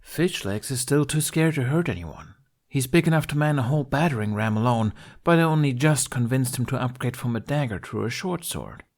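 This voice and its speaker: A man's voice: mid-range voice, lively intonation, a little fast, a little mellow, voice pushed up, lightly acted. A man voicing a tough, exasperated young warrior woman in a fantasy audio story, blunt and teasing.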